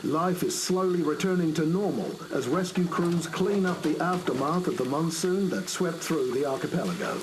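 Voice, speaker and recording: An actor playing a male news reporter delivering a formal, measured crisis bulletin in a video game. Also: fairly high pitch, noisy background, close to an everyday voice. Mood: formal, neutral.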